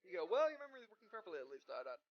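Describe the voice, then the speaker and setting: A man hosting a casual let's play, reading game dialogue aloud in a halting, stumbling way and correcting himself. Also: high-pitched, sing-song. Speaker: gaming video host. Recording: dull, lo-fi home recording.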